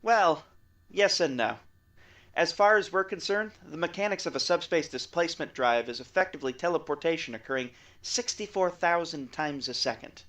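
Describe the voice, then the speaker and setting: Man acting as excitable male scientist, higher-pitched, explanatory, wry, technical, audio drama. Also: normal speed. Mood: explaining, keen.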